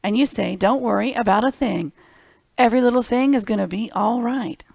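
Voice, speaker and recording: A woman's voice: fairly high pitch, fairly quick, vocal fry, darkish tone, dry recording. A woman hosting a children's library storytime, reading picture books expressively and speaking simply and directly to young listeners.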